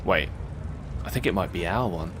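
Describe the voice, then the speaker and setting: A man's voice: sits low, moderate pace, background noise, close to an everyday voice. An actor playing a gullible, apologetic young man at a protest in a comic audio drama, tentative and earnest. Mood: hesitant, apologetic.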